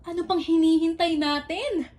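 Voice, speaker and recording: A young Filipino woman with a high voice narrates a comedic radio drama. She is chatty and teasing, speaking expressively as if gossiping with classmates. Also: steady tone, normal speed, darkish tone. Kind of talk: playful scripted narration.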